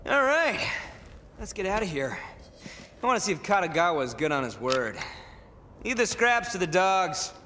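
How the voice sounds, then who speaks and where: An actor playing a smug young twin-brother villain in a video game, mocking and swaggering as the sidekick. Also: male voice, sits high, sing-song, normal speed, long pauses, a little hiss or hum, full character voice. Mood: dismissive. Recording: loud music behind the voice.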